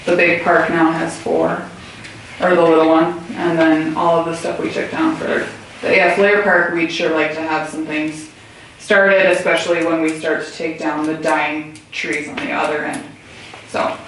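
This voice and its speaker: A woman's voice: sits low, little pitch movement, moderate pace, fairly bright tone. An American woman talking informally at a meeting, answering questions. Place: indoor meeting room, far mic. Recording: very echoey room, distant mic, faint noise.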